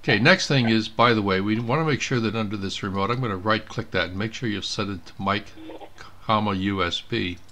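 A man giving an informal step-by-step software demonstration, thinking aloud as he clicks through each step. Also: fairly low pitch, fairly quick, a little hiss or hum. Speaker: presenter of a software tutorial. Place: indoors at a computer.

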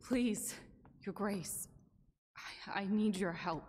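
A woman playing a humble, earnest young villager in an animated fairy tale. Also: mid-range voice, takes it slowly, a little hiss or hum, natural voice. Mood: pleading, grieving.

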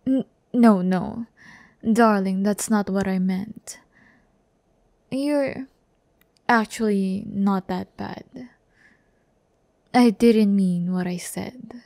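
Slow, soft-toned teasing demon girl played by a woman. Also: mid-range voice, no room sound. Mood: soft, coaxing. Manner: breathy, unhurried, teasing, often dropping to a whisper. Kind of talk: intimate ASMR audio roleplay. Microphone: close-up microphone.

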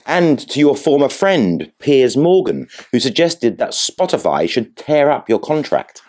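A man's voice: mid-range voice, sing-song, unhurried, darkish tone. A man playing a pompous, sardonic interviewer in a satirical mock interview, with florid, needling delivery. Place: furnished sitting room indoors. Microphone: lapel.

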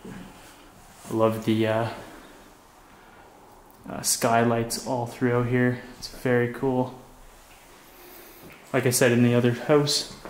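A young man casually narrating his walk through an abandoned house, chatty and relaxed. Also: medium pitch, a little hiss or hum. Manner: relaxed, chatty, frequent "like" and laughs. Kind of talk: casual walkthrough vlog. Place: inside an empty abandoned house.

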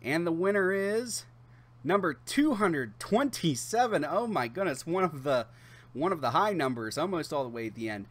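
A man in his thirties hosting a channel, talking casually to camera in a chatty, halting way with drawn-out pauses. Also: fairly high pitch, animated, fairly bright tone. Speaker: YouTube channel host. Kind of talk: casual direct-to-camera giveaway announcement.

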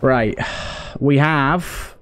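A man's voice: mid-range voice, very slow, fairly bright tone, soft background music. A man hosting a gameplay video, thinking aloud in short remarks as he gives casual commentary. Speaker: gameplay host.

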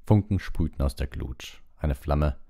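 Man, extremely low pitch, dark tone, audiobook reader, relaxed livestream host. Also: measured pace, gravelly. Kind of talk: narration and casual livestream chat.

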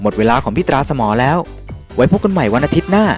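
A man's voice: a little high, big pitch swings, fast talker. A man presenting a children's television show, speaking to young viewers over music. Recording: music behind the voice.

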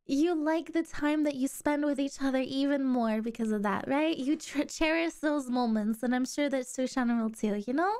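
A woman's voice: high voice, a little mellow. A young woman streamer giving casual reaction commentary, bubbly, gasping and squealing at what she watches.

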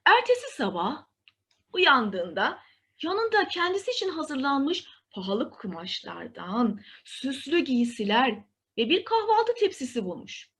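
Lively high-voiced woman telling a fairy tale. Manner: dramatic, deliberate storytelling delivery. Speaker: storyteller. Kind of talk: expressive children's fairy tale narration.

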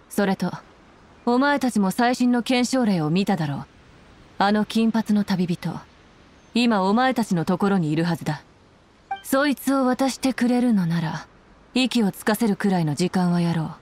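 A woman's voice: mid-range voice, a little mellow, slight background noise, close to an everyday voice. Woman acting a stern, commanding female army general. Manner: cool, authoritative, formal. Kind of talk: anime game voice acting.

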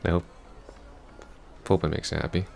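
A man playing an awkward, apologetic young ex-boyfriend in an ASMR roleplay, halting and stammering. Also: low-pitched, steady tone, vocal fry, a little mellow, soft background music. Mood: awkward, wistful. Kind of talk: ASMR roleplay, soft intimate acting.

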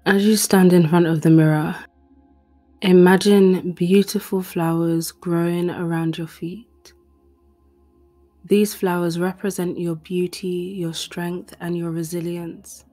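A woman leads a guided meditation in a hushed, breathy voice. Her delivery is very slow and even, with little rise or fall in pitch. Soft music plays behind her soothing words. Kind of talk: guided meditation, scripted narration.

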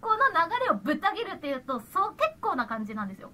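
Woman, very high pitch, encouraging rehearsal director, playful and casual, lively intonation, noisy online call. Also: very fast. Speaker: voice drama director running rehearsal.

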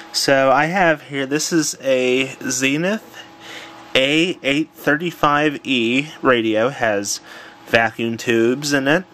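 Slow-talking man demoing a gadget. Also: medium pitch, a bit bright, slight background noise. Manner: unhurried, thinking aloud with fillers. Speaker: tutorial presenter. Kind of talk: casual how-to demonstration. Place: home room with old radio.

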